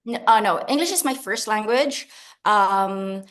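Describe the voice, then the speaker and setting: A woman's voice: medium pitch, measured pace, fairly bright tone. Filipina author lady chatting on a video call, laughs a lot, pokes fun at herself. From Philippines. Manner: chatty, laughs often, self-deprecating. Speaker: author guest. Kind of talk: casual interview answers.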